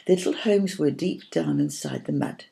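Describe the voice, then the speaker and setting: A woman's voice: deep voice, huge pitch swings, normal speed, vocal fry, warm tone, exaggerated voice. A woman voicing a firm, imaginative girl who tells a children's story patiently and dramatically. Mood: firm, wondering.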